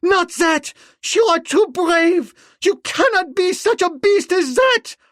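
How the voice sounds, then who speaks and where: A man voicing a frightened young woman who pleads in a high falsetto. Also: lively intonation, takes it slowly, a bit bright, dry recording, studio quality, heavily acted. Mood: pleading.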